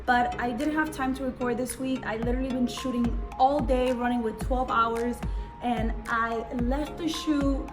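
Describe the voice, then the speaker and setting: A young woman born in Cuba and raised in Israel, whose first language is Hebrew, rambling fast and slangy through a casual vlog in a room set up for filming. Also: fairly high pitch, fairly even intonation, music in the background. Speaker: vlogger. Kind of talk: casual get-to-know-me vlog. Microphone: camera mic.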